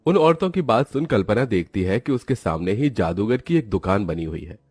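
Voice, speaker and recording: A man's voice: on the low side, lively intonation, fairly quick, darkish tone, husky, no echo. A man reading scripted storybook narration for a cartoon.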